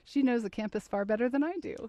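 A woman interviewing a guest, asking gentle prompting questions. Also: on the high side, quick pace, no room sound. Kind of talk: oral history interview.

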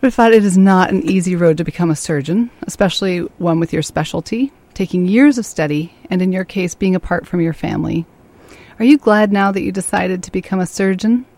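Mellow low-voiced radio host woman. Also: dry recording. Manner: measured, polite questioning. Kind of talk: radio interview hosting.

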